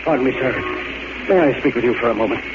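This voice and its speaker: A man's voice: medium pitch, fast talker, natural voice. A man acting an agitated, controlling elderly father with an American accent in an urgent, pleading 1940s radio drama style. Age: older. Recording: muffled, noisy 1940s radio recording.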